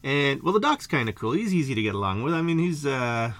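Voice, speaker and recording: A man's voice: medium pitch, brisk. A man doing a huge laid-back slacker dude. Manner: drawling slacker slang, relaxed.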